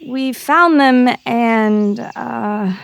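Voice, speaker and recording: A woman's voice: on the high side, extremely slow, creaky, full character voice. A woman acting an earnest, nervy teenage girl reporter in an audio drama, stammering and pleading. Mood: nervous, reluctant.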